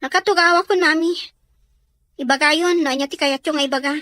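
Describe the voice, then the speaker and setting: A woman voicing a petulant, anxious high-school daughter in an audio drama, whiny and pouting, with an Ilocano Filipino accent. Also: fairly even intonation, fairly quick, sharp tone, dry recording. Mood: defiant, sulky.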